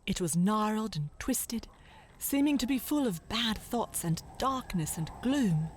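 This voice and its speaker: A woman reading scripted literary narration for a children's audio drama in a measured, dramatic, descriptive way. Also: medium pitch, animated, slight background noise.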